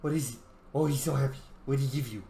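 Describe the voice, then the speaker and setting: A man's voice: mid-range voice, steady tone, racing, gravelly, warm tone. A man hosting a roleplay let's play, chatting casually and improvising replies to game characters. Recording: roomy echo, faint background noise.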